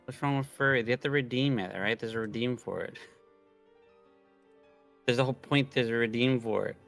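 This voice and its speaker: A man's voice: medium pitch, a little fast, vocal fry, fairly bright tone, soft background music. A man bantering casually on a livestream in a joking, teasing manner. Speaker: streamer's friend and co-player.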